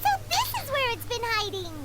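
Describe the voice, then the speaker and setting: A woman voicing a small, excitable, childlike female companion creature. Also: very high-pitched, fairly quick. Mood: excited. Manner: excitable and chatty. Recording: game audio with loud noise.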